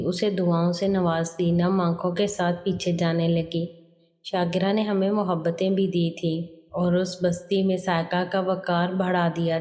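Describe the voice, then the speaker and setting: Mellow woman narrator in heavy reverb. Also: on the low side. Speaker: story narrator. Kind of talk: scripted first-person story narration in a male character's voice. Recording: long hall reverb.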